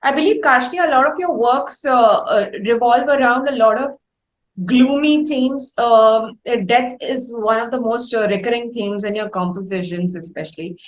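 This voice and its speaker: Higher-voiced Indian-accented woman hosting. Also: normal speed, low-quality recording. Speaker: interview host. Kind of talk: conversational interview hosting.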